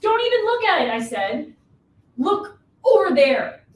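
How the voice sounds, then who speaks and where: A woman's voice: very high-pitched, very animated, a little slow, a little hiss or hum, heavily acted. A woman in a dramatic play reading as a sullen, defensive, possessive young man, curt and guarded, in a roomy recording at a distance from the mic.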